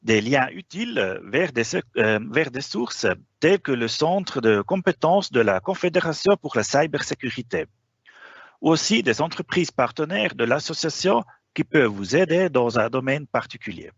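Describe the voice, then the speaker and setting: A man presenting a professional webinar in a language that is not his first, stopping often on hesitations. His voice rises and falls a lot and carries a gravelly, slightly husky texture. The sound is dry and a bit dull, like a lo-fi online call. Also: medium pitch, big pitch swings, moderate pace, dry recording. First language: not French. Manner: hesitant, frequent "euh" fillers.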